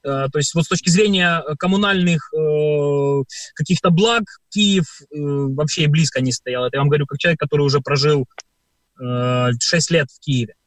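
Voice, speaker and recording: A man's voice: fairly high pitch, moderate pace, dry recording. A man from Ukraine, a performer, answering radio interview questions at length with many hesitant filler sounds. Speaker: performer and guest.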